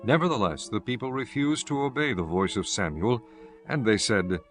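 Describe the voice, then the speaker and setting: A man narrating scripture from a script in a measured, formal manner. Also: on the low side, lively intonation, faint music behind.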